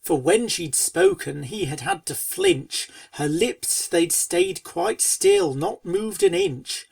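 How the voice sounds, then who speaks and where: A woman reading scripted storybook narration in rhyming verse, with a theatrical, measured cadence. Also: sits high, huge pitch swings, creaky, darkish tone, dry recording, clean studio sound, distant mic. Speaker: fan fiction reader and narrator.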